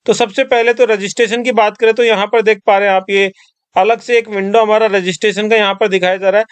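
High-voiced man giving step-by-step instructions. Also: flat delivery, quick pace, clean tone, no echo. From Rajasthan. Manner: step-by-step instructing, addresses viewers as friends. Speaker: tutorial presenter. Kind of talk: screen-recorded tutorial voice-over.

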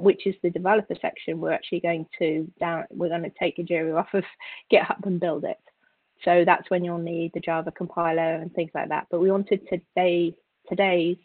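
A woman instructor giving an informal software tutorial, explaining step by step with halting, repeated words. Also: on the low side, no echo. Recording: narrow, phone-like call audio.

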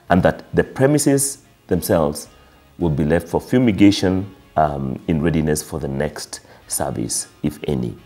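Kenyan male pastor, middle-aged, deep and slightly husky, deliberate public-address delivery, music underneath. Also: warm tone. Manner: measured, formal, deliberate phrasing. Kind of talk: prepared public address to a congregation. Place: office, seated at a desk indoors.

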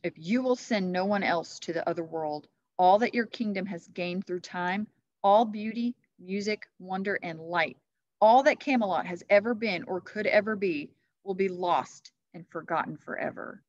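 A woman's voice: moderate pace, lightly acted. A woman voicing a commanding male knight in a storybook reading, with a declamatory manner. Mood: stern, booming. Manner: stern, booming, declamatory. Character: commanding, booming male Christmas knight in a fantasy tale. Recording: muffled, echoey classroom webcam audio.

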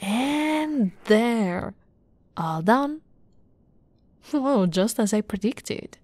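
Woman playing a slow, cooing lamia girlfriend. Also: fairly high pitch, lively intonation, no echo, full character voice. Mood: doting, teasing. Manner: soft, cooing, often dropping into whispers. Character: affectionate teasing lamia girlfriend, doting and playful. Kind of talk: ASMR girlfriend roleplay.

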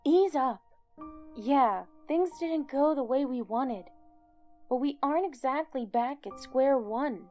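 An actress playing a level-headed vigilante teammate in an audio drama, direct and reasonable while probing. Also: female voice, high voice, slow, dark tone, soft background music. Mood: firm, mediating.

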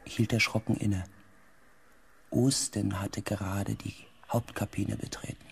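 A man narrating a science fiction radio drama as a reflective crew member, in a hushed, deliberate way. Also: lowish voice, little pitch movement, gravelly, slight background noise. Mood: awed, unsettled. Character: reflective crew member recounting a space expedition. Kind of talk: scripted first-person radio drama narration.

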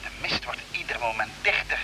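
An urgent space crewman in a vintage radio serial. His voice is piercingly bright and quick, with faint noise on the old recording. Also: mid-range voice, animated, low-quality recording. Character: urgent male crew member on Mars expedition. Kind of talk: vintage Dutch radio drama acting.

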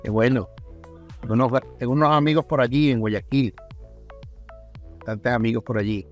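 A middle-aged man hosts an interview podcast. His pitch is average for a man and he speaks conversationally into a close microphone, with faint music behind him. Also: normal speed, lo-fi sound. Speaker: podcast host and pastor. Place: indoor room on a video call. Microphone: desk microphone close in front.

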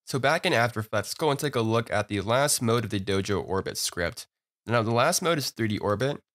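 A man with a fairly low voice talks a viewer through a screen-recorded software tutorial. His delivery is relaxed and upbeat, with frequent "you know" and "uh" as he clicks through each step.

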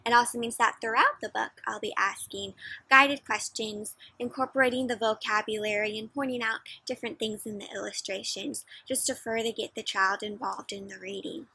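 A young woman doing an interactive read-aloud for children, speaking in an expressive, emphatic way. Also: fairly high pitch, normal speed, slightly rough, low-quality recording. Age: young adult. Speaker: children's storybook reader. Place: outdoors in a playground.

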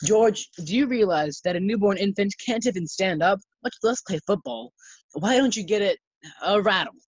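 A man playing a sensible friend with a husky voice and dry, sarcastic delivery in an old-time radio sitcom style. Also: high-pitched, expressive, normal speed, no room sound. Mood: dry, teasing. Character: dry, sensible male friend needling an overexcited pal. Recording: slightly dull, muffled sound.

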